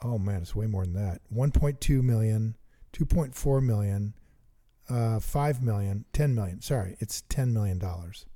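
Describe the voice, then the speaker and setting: A middle-aged man co-hosting a chat about investing, eager and thinking out loud as he works sums aloud. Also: on the low side, normal speed. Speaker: investing podcast co-host. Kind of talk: casual teaching chat.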